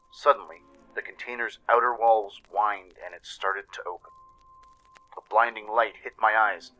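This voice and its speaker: Male story narrator, lowish pitch, crisp forceful edge, dramatic scripted delivery, music underneath. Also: rough recording. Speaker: narrator and voice actor.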